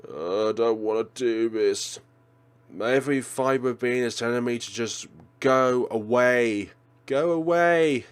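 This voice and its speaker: A man's voice: mid-range voice, slow pace, smooth, voice pushed up, natural voice. A man voicing a frightened, indignant teenage schoolgirl in a visual novel, exclaiming and pleading. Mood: distressed.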